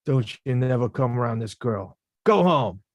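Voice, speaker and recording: A man acts out a grown working-class boxer in a screenplay reading. He talks in blunt streetwise slang, plain and protective, at an ordinary male pitch. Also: lively intonation, normal speed, darkish tone, no echo, lo-fi sound, close to an everyday voice. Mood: angry, protective. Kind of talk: screenplay table read acting.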